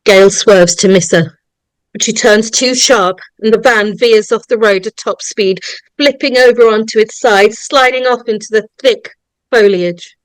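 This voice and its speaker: A woman narrator reading horror screenplay stage directions in a dramatic, suspenseful way, with deliberate pauses. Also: a little high, no room sound, low-quality recording. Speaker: narrator of a script table read.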